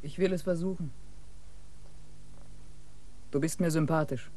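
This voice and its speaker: Eine Schauspielerin spielt in einem Hörspiel eine hilfsbereite junge Frau aus dem Dorf, die freundlich spricht. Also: female voice, low voice, fairly even intonation, unhurried, dark tone. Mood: friendly. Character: obliging young peasant woman, warm and helpful. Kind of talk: radio play acting.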